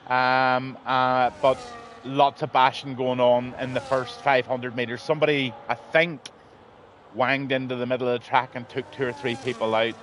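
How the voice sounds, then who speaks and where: Guy calling a sim race live, voice going hoarse, lots of ums, bit of faint hum behind. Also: mid-range voice, fairly bright tone. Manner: rambling, frequent ums, blunt. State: losing his voice. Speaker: race commentator and streamer. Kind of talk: live sim racing commentary.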